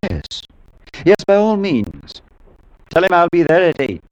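An actor in a 1940s radio drama playing a sensible, skeptical priest who is also a concerned friend and narrator. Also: male voice, fairly high pitch, huge pitch swings, a little slow, background noise. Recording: 1940s radio broadcast with music.

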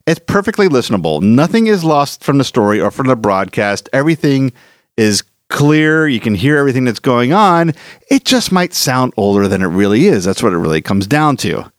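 Animated male podcast host, close and dry. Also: mid-range voice, normal speed, dry recording. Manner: enthusiastic. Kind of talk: conversational scripted introduction.

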